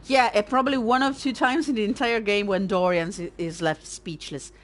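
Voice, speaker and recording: A woman's voice: medium pitch, fairly even intonation, moderate pace, a little hiss or hum. A woman from Italy with an Italian accent, a native Italian speaker, chatting and thinking aloud in a self-deprecating way while commentating a video game playthrough. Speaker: let's-play host. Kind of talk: casual gameplay commentary.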